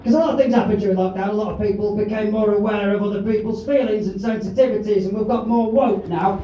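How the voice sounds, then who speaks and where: Brash compere, high-pitched man, teasing, echoey club with crowd noise. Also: fairly even intonation, quick pace, dull audio. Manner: brash, sweary, teasing the audience. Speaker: comedy compere. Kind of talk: stand-up crowd work. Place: live comedy club. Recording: echoey room, distant mic, crowd noise.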